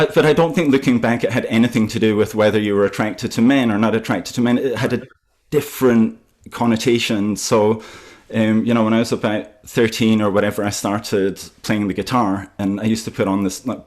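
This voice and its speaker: Scottish man, low voice. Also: steady tone, a little mellow. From Scotland. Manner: halting, many fillers and restarts. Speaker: author. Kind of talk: festival interview.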